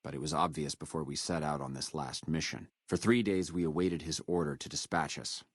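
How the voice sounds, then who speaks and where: An actor playing a proud, menacing captured military officer in a video game, with a measured, contemptuous delivery. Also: male voice, rumbling low, fairly even intonation, no room sound. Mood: guarded, grave. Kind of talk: video game character dialogue.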